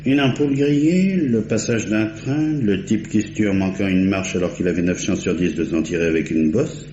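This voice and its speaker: A man voicing a sceptical male police inspector, curt and ironic, very deep voice, roomy echo, hiss behind, muffled vintage radio play. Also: moderate pace, warm tone, natural voice. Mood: dry. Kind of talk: 1950s radio drama acting.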